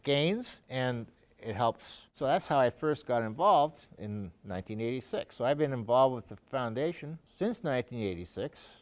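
A middle-aged man talks in a loose, conversational way, circling back with "you know" and false starts. His voice sits at an ordinary middle pitch. He sounds unhurried and sincere as he explains himself. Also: lively intonation. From Canada. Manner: rambling, full of fillers and restarts. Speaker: donor interviewee. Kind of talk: conversational interview.

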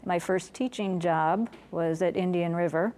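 New woman, lowish voice, matter-of-fact, talking about her music teaching. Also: measured pace, darkish tone. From Central New York. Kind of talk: casual interview answers.

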